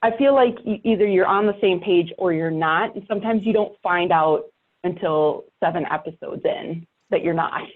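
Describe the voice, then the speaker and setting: A woman's voice: lowish voice, moderate pace, phone-quality sound. A woman podcaster giving advice in casual conversation, blunt and emphatic with a wry edge, over thin call audio. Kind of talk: casual panel chat.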